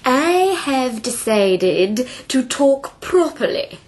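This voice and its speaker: An actress playing a young woman who puts on stilted posh speech, slow, over-enunciated and almost robotic, in a radio drama. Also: high-pitched, big pitch swings, darkish tone, heavily acted. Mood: affected, prim.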